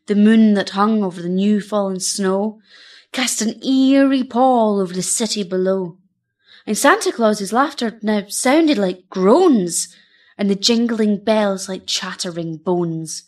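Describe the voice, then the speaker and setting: A woman narrating a storybook poem in a theatrical, rhythmic and measured manner. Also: medium pitch.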